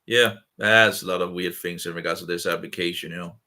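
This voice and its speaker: A man from Denmark with a Danish accent, talking in halting, rough-edged speech with restarts on a casual video call. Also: low-pitched, fairly even intonation, sharp tone. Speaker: video chat participant.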